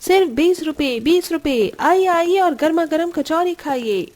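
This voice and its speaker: A woman playing a poor, hardworking young widowed mother in a cartoon story, earnest and expressive. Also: extremely high pitch, measured pace. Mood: calling out.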